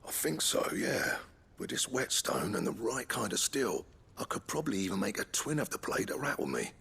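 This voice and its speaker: An actor playing a gruff, proud older blacksmith in a video game, with a blunt, wry, colloquial manner. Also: male voice, on the low side, very animated, moderate pace, a bit bright, exaggerated voice. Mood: thoughtful, determined. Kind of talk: video game cutscene acting.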